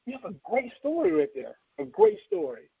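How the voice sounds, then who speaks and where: A man's voice: extremely high pitch, wildly swooping pitch, normal speed, vocal fry, breathy edge, no room sound. A man in his thirties chatting casually and emphatically as a podcast co-host, giving enthusiastic opinions. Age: 30s. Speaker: co-host of a video game podcast. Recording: slightly dull, dry radio-like sound.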